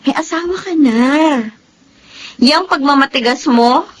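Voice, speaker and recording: A woman's voice: sits high, measured pace. A woman playing a female friend who scolds and lectures a young wife, in a radio drama. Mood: scolding.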